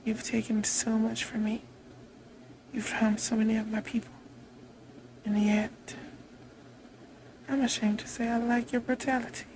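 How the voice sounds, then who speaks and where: A woman playing a haughty, vengeful vampire queen in a roleplay monologue, imperious, taunting and deliberate in delivery. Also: a little high, little pitch movement, darkish tone, slight background noise, low-quality recording. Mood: brooding.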